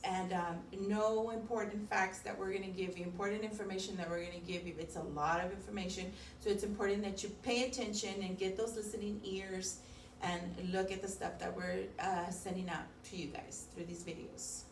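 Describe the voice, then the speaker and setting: A woman's voice: mid-range voice, steady tone, brisk. A woman speaking as a preschool teacher, informally explaining things to children and rephrasing them simply. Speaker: preschool teacher co-hosting a video lesson. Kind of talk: informal lesson chat for children. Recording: echoey room, distant mic, faint noise.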